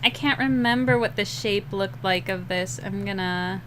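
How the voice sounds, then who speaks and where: Giggly woman with a rough voice. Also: mid-range voice, normal speed. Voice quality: slightly rough, scratchy voice. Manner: rambling, giggly, self-deprecating. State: sleep-deprived. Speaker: digital artist streaming a drawing session. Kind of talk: casual chat while drawing.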